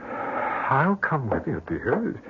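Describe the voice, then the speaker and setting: An actor playing an anxious, doubting husband in an old radio drama, hesitant and pleading, on a muffled old recording. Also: male voice, medium pitch, huge pitch swings, extremely slow, very noisy, heavily acted. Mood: anxious, reluctant.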